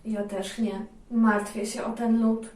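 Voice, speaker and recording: A woman narrating and chatting calmly about a children's story. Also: a little high, recorded from a distance. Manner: soft, slow, calm. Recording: echoey room.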